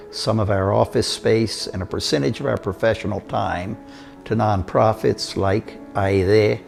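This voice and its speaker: An older man from Costa Rica, telling his life story in a measured, steady delivery. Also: on the low side, gravelly. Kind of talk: prepared autobiographical narration.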